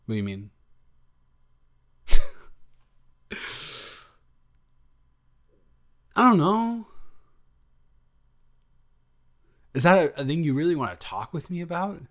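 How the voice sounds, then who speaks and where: A young man playing an affectionate, excitable, goofy boyfriend in an improvised roleplay audio, chatting casually and effusively in rambling, slangy, stumbling speech. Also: fairly high pitch, a little slow. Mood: flustered, affectionate.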